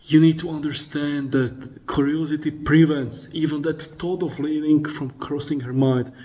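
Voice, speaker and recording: A man's voice: fairly high pitch, steady tone, moderate pace, mellow. Blunt, profane male dating coach. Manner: commanding, addresses listener directly. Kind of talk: voice-over instruction.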